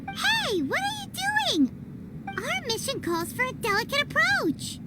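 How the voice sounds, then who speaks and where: Small game fairy companion, childlike and outspoken, unhurried and squeaky, over loud background noise. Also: female voice, exaggerated voice. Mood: indignant. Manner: exclamatory, expressive, questioning. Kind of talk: video game cutscene acting.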